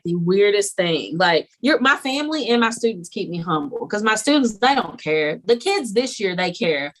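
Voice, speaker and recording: A young woman teacher chatting as a podcast guest, animated and laughing often, slipping into quoted voices as she tells stories. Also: on the high side, rough recording. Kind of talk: casual podcast interview.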